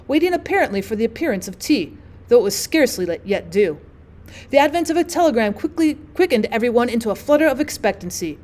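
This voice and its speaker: High-voiced woman narrating a classic story. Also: warm tone. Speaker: teacher reading stories aloud. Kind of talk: scripted audiobook narration.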